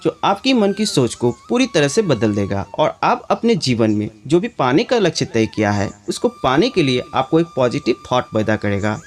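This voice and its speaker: A man narrating a scripted moral story as a storyteller. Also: a little high. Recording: background music under the voice. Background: music.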